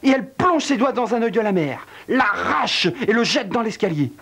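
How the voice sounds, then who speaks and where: A man telling a dark true-crime tale in a wry, theatrical way, with a cold. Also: lively intonation, moderate pace, gravelly. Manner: wry, theatrical storytelling with big pitch swings. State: has a cold. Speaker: narrator. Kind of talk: dramatic spoken narration.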